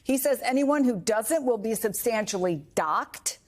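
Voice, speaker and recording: A woman's voice: fairly high pitch, expressive, a little slow, darkish tone. A woman working as a TV news anchor, interviewing guests in a conversational style.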